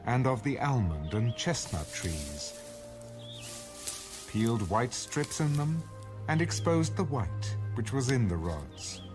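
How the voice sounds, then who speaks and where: A man narrating scripture for a dramatized audio Bible in a measured storytelling manner. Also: mid-range voice, sing-song. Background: background music.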